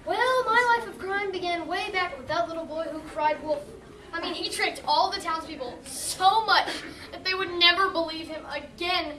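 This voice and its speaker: Girlish-voiced woman as a very high-pitched reformed storybook wolf. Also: moderate pace. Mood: confessional. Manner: theatrical, expressive storytelling. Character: reformed Big Bad Wolf, earnest, apologetic storyteller. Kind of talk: youth stage comedy acting. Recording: echoey hall from far off, audience noise.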